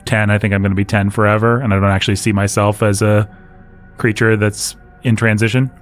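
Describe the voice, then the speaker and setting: Male podcast host, low register, easygoing conversational delivery over soft background music. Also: level tone, a little fast. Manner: conversational, laughs while talking. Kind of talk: casual discussion.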